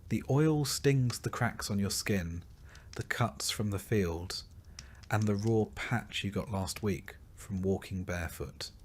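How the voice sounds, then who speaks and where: Slow, low-voiced male history narrator. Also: slight background noise. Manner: measured, descriptive second-person storytelling. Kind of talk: scripted long-form history narration, sleep story.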